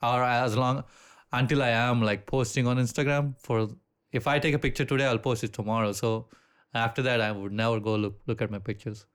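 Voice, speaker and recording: Indian accent guy on a podcast, smooth clean voice, laid back, swears a bit, keeps restarting his sentences. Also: mid-range voice, brisk. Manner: relaxed, laughs easily, swears casually, restarts sentences. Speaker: podcast co-host. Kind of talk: casual chat.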